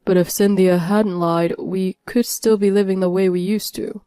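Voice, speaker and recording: A woman's voice: mid-range voice, fairly even intonation, moderate pace, dark tone, clean tone, dry recording, studio quality, natural voice. A woman voicing an indignant, pleading man who blames others in a dramatized family story. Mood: flustered. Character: whiny, blaming ex-husband and his bossy father.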